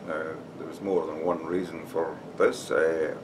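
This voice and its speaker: A man's voice: extremely low pitch, flat delivery, unhurried, distant mic, noisy background. An older man from Scotland with a Scottish accent gives an informal talk to camera, explaining steadily and often starting with "now" or "uh". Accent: Scottish, Dumfries. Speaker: society member giving a talk. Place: room with shelves and flags behind.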